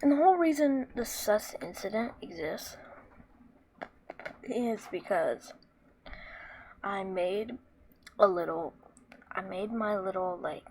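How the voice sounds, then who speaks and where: A woman with a squeaky voice talking through a casual screen tutorial, rambling with lots of fillers and restarts. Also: expressive, slow, pauses often, darkish tone, slight background noise, poor audio. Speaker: tutorial maker.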